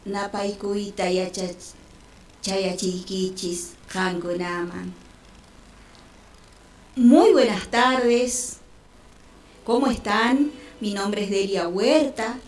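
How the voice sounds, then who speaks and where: Slow-talking Quechua-speaking woman radio host. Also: mid-range voice, a little hiss or hum. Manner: unhurried, with frequent pauses and restarts. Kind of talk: warm-hearted radio opening, bilingual greetings. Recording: roomy studio with faint music.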